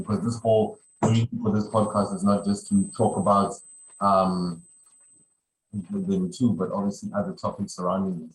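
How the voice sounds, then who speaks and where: Guy hosting a casual podcast, low voice, rambles and repeats himself, noisy background. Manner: rambling, repeats phrases, many "you know". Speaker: podcast host. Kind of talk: casual conversational podcast chat.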